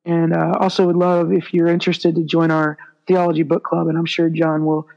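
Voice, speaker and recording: A woman with a raspy, hoarse voice from a cold, a podcast guest talking casually and apologetically. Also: fairly low pitch, monotone, a little fast, a little mellow, muffled recording. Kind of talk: casual chat.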